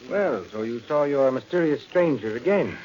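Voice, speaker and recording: An actor playing a rational doctor who questions in a measured, analytical way in a vintage radio drama. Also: male voice, medium pitch, lively intonation, a little mellow, lightly acted. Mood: calm, probing. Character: rational, probing doctor calming a frightened patient. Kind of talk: 1940s radio suspense acting. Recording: dull old radio recording.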